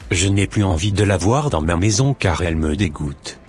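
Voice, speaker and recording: A man's voice: deep voice, animated, normal speed, slightly rough, faint music behind. A man voicing a wounded, stern husband in an amateur cartoon dub, with a grave, earnest delivery. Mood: hurt, brooding. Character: betrayed, wounded but loving husband, stern and brooding.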